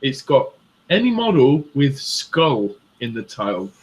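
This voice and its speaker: A man's voice: medium pitch, sing-song, measured pace, poor audio. English guy co-hosting a hobby show, mixed midlands accent, bit northern bit southern, just chatting. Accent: English Midlands with northern and southern traces. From England. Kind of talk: casual chat.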